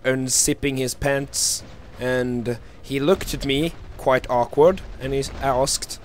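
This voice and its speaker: A man's voice: mid-range voice, fairly even intonation, slow pace. A young man from Norway, casually telling stories in a joking, giggly, informal way. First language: Norwegian. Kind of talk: casual chat, storytelling. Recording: noisy amateur mic, clicks.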